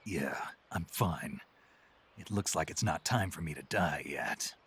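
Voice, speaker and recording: A terse soldier, very deep. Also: male voice, lively intonation, a little slow, a bit bright, slightly rough, heavily acted. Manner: clipped, murmured delivery. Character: terse gruff male special-ops soldier. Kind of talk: video game cutscene acting.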